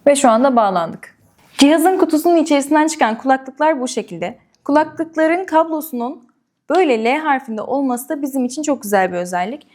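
High-voiced woman presenting a product review. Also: lively intonation, brisk, a little mellow. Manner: clear step-by-step explaining, addressing viewers directly. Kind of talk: product review and unboxing demonstration.

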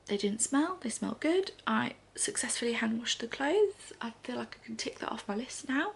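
Congested woman, gravelly and hushed. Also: fairly high pitch, animated, normal speed, a little hiss or hum. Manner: soft, often half-whispered chatty talk. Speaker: vlogger. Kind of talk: casual morning chat to camera. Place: living room sofa indoors.

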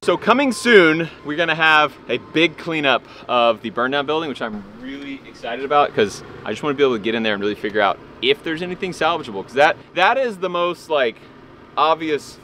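A man's voice: mid-range voice, lively intonation, fast talker, sharp tone, reverberant, slight background noise. A man in his thirties giving a casual, joking walkthrough vlog of an old stone house, recorded on a camera mic. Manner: upbeat, joking, wry. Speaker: vlogger.

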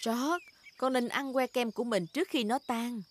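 Kindly cartoon pig mum played by a woman, practical, fairly high voice, loud soundtrack noise. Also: normal speed, close to an everyday voice. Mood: coaxing. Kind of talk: children's cartoon dubbing.

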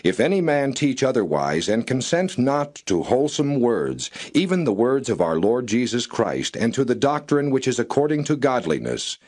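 A man narrating the Bible as a straight, non-dramatized reading, in formal, measured and solemn phrasing. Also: mid-range voice, very animated, darkish tone.

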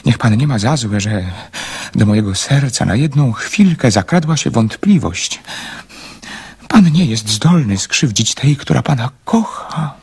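A shy young dreamer hopelessly in love in a radio play. His voice is mid-pitched and very husky, and he speaks earnestly, stumbling and hesitating over his phrases. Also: lively intonation, darkish tone, full character voice. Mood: earnest, hesitant. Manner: halting, stammering, earnest. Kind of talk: radio drama acting.

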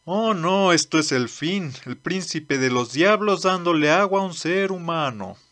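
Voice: mid-range voice, expressive, a little slow, a bit bright. A man voicing a scheming older monster companion in a manga dub, theatrical and explanatory. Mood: dismayed. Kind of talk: Spanish manga dub acting.

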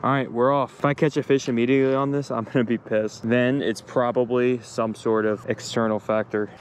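A man's voice: mid-range voice, steady tone, fairly quick. A man hosting a fishing vlog outdoors, talking casually and informally to viewers with mild slang. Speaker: fishing video host. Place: outdoors by a pond. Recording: noisy outdoor ambience.

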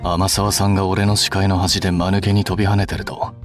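A man's voice: low-pitched, little pitch movement, rapid-fire, close to an everyday voice. An actor voicing an aloof, curt young male coworker in a romance game, speaking in a cool, dismissive way. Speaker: game character. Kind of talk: game voice acting. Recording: game audio with soft music.

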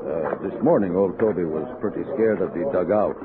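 An actor playing a plain-spoken frontier hunter in a 1960s radio drama. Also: male voice, low-pitched, fairly quick, natural voice. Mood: matter-of-fact. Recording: noisy, muffled old radio recording.

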